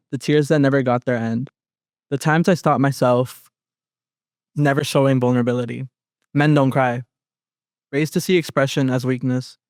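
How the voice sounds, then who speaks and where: Clear-voiced young man reading poetry. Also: medium pitch, darkish tone, no room sound, studio quality. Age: young adult. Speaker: student poet.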